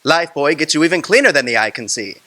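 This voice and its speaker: A man's voice: a little high, lively intonation, fast, bright, forceful tone. Upbeat man reading a vintage radio ad. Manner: upbeat, persuasive. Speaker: announcer and narrator.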